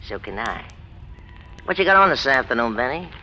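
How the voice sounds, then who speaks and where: A man's voice: medium pitch, big pitch swings, fairly quick, pushed and bright. A man playing a mean, greedy crime boss, needling and bossy, in 1940s radio gangster style. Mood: menacing, bossy. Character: mean, greedy small-town crime boss, taunting and domineering. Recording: dull, faintly noisy old radio recording.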